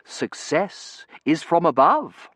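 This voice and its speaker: A man voicing a cheerful, chattering young gentleman who talks in an eager, breathless patter and quotes verse theatrically. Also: a little high, sing-song, slow, breathy edge, no room sound, clean studio sound, voice pushed up, exaggerated voice. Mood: chattering eagerly. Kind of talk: audiobook character voice.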